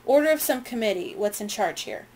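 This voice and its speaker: A woman reading aloud and voicing a coarse, toothless old sailor with rough speech. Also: on the high side, expressive, brisk, a little mellow, a little hiss or hum, rough recording.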